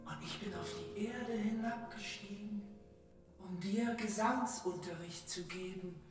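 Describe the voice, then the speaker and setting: An actor playing a phantom who poses as an angel of music, speaking solemnly and hushed, with seductive authority, in a radio drama with heavy reverb. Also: mid-range voice, unhurried. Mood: solemn, beguiling. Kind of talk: German radio drama acting. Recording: cavernous reverb, distant, loud music behind.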